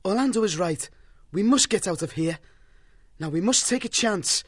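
Earnest young mouse hero, put on by a male narrator, lifted voice, lively pitch. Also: normal speed, slightly rough. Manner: earnest, taking charge. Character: brave young male mouse warrior, a leader. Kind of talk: audiobook character voice.

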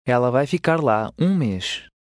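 A man's voice: medium pitch, animated, a little slow, dry recording, clean studio sound. A man with a European Portuguese accent, carefully repeating model phrases in a language course. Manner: clear, careful repetition of phrases.

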